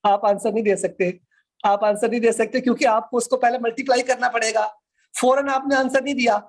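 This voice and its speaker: A man's voice: very high-pitched, fairly even intonation, fairly quick. A man with a Pakistani accent teaching as a law lecturer, explaining interactively with questions and repetition.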